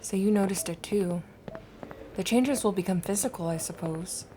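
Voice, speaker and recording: A woman's voice: mid-range voice, moderate pace, dark tone, slight background noise, close to an everyday voice, rough recording. A woman playing a doctor's anxious, conscientious assistant in a horror manga dub, speaking earnestly and uneasily. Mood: concerned.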